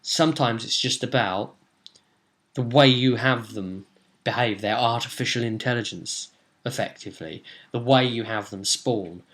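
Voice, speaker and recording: A man, a game master telling the story of a role-play session in a casual, animated way. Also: mid-range voice, normal speed. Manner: animated storytelling, acting out players' reactions. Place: small room at home, indoors.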